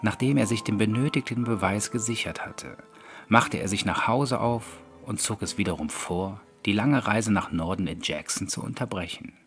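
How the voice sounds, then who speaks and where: A man's voice: lowish voice, moderate pace, a bit bright. A man narrating a scripted horror story as an audiobook reader. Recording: background music under the voice. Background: music.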